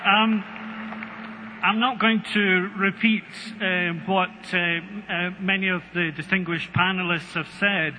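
A Scottish man speaking on a conference panel, halting with many ums and a dry wit. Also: sits high, steady tone, bright, forceful tone. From Scotland. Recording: long hall reverb, faint noise.

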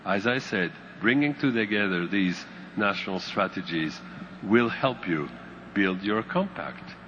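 A man giving formal, measured remarks on a conference panel. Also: on the low side, expressive, fairly bright tone. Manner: measured, formal, occasional ums. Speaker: panel speaker. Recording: dull muffled sound, faint noise.

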